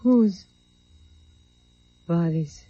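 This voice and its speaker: A woman's voice: on the low side, drawn-out words, mellow, slightly rough, exaggerated voice. An actress playing a dazed, wistful woman in an old radio drama, speaking hesitantly and colloquially after a crash. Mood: anxious. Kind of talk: 1940s radio drama acting. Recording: muffled old radio recording.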